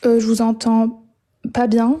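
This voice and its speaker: A young woman from Algeria calling in to share her story, talking hesitantly with many pauses and restarts. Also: fairly high pitch, monotone, mellow. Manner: hesitant, frequent filler sounds and restarts. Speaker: caller. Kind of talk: personal testimony on a call-in show.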